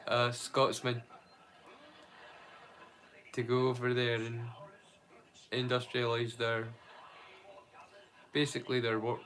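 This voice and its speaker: A man's voice: medium pitch, a bit bright, low-quality recording. A man hosting a live stream in a casual, rambling, chatty way.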